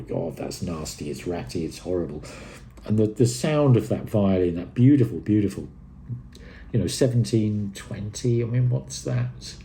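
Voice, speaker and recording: A man with a low-pitched, very mellow and relaxed voice reviews music off the cuff. He is enthusiastic but hesitant, rambling his way through his thoughts as he talks casually to camera.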